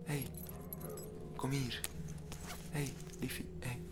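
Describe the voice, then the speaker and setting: A woman's voice: rumbling low, fairly even intonation, slow, loud music behind the voice. An actress playing a manipulative lover in her mid-forties in an audio drama, sweetly coaxing and cutting. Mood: coaxing, pressuring. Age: middle-aged.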